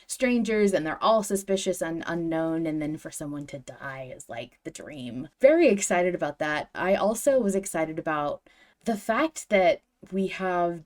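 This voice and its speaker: A woman's voice: fairly low pitch, lively intonation, unhurried, darkish tone. A woman hosting a podcast in casual chat, with an enthusiastic, emphatic way of talking. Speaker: podcast host.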